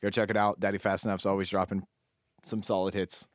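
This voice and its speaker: A man's voice: sits low, level tone, a little fast, dry recording, studio quality. A young man co-hosting a podcast in casual banter, rambling and swearing freely. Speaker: podcast co-host.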